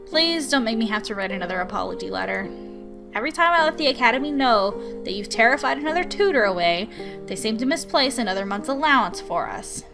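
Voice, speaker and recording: A woman's voice: a little high, lively intonation, quick pace, creaky. A woman voicing an exasperated, dutiful guardian in a visual novel, dry and scolding. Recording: music behind the voice.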